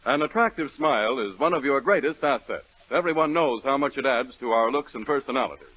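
A man's voice: medium pitch, lively intonation, brisk, a bit bright, no room sound. A man reading an earnest, persuasive radio commercial in 1930s announcer style. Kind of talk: scripted radio advertisement. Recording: dull, dry 1930s radio recording.

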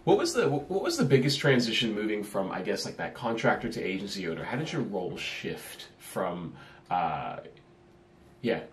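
A young man in his twenties from New Zealand hosting a podcast, with a relaxed, affirming manner and lots of yeahs. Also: fairly low pitch, moderate pace, a little hiss or hum. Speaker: podcast host. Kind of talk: casual interview chat. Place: small office with a whiteboard. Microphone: over-ear headphones with a desk mic. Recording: echoey small room.

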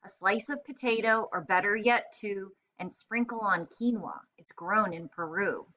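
A woman's voice: mid-range voice, expressive, moderate pace, pushed and bright, dull audio. A woman voicing a tiny, chirpy little girl in a storybook, eagerly and precisely ordering what she wants. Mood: demanding, precise. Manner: eager, precise, bossy but polite. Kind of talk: children's storybook read-aloud.

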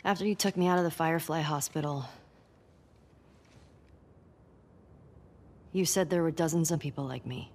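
A woman's voice: sits low, fast, a little mellow, slight background noise. A woman playing a wary, wounded young survivor in a video game, with an American accent and a subdued, earnest, naturalistic delivery. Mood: probing. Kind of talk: naturalistic cinematic game acting.

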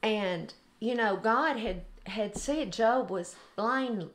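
An older woman with a Southern US accent, sharing an informal devotional in an earnest, deliberate way. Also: fairly high pitch. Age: 60s or older. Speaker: host of a devotional video. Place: home kitchen, indoors.

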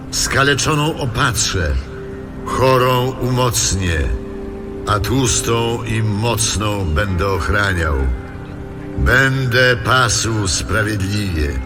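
Slow, solemn divine shepherd. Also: male voice, medium pitch. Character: God speaking as a solemn, protective shepherd. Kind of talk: dramatised audio Bible, scripture recitation. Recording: loud music bed under voice.

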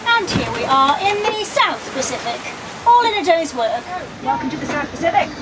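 Chatty woman over loud sea wind. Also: sits high, sing-song, normal speed. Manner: chatty, playful, self-mocking. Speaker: sailing vlogger. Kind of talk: casual chat on camera. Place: outdoors on a sailboat at sea. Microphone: handheld action camera. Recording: loud wind and wave noise. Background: loud wind and waves.

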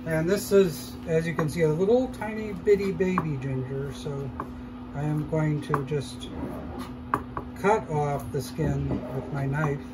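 A man hosting a cooking show, calmly explaining each step as he cooks in a shop demo kitchen. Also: a little high, measured pace, noisy background. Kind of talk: casual cooking demonstration.

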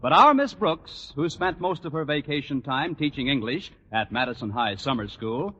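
A man's voice: mid-range voice, animated, normal speed, a bit bright, a little hiss or hum. A man with an American accent announcing in polished, emphatic 1940s radio style, delivering sponsor lines and narration. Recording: reverberant, muffled 1940s radio recording.

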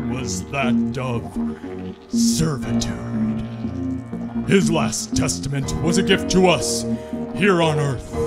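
A man playing an ominous, portentous storyteller in an old-time radio horror show, pausing deliberately. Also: mid-range voice. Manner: deliberate, portentous, long pauses. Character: ominous male storyteller prophesying cosmic doom. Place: dark small theater stage. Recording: live stage, loud guitar music behind.

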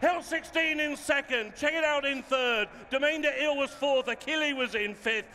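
A high-voiced man calls a horse race live, his delivery bright and forceful. The phrases come clipped and fluent, one after another, with a long reverberant echo around the voice. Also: normal speed. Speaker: horse racing commentator.

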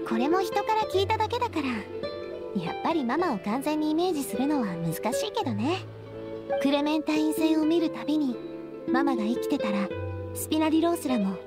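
A woman playing a warm, resolutely upbeat young lady boss in anime game dialogue, candid and reflective. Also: high-pitched. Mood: fond, reminiscing, then wistful. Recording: studio voice with music behind.

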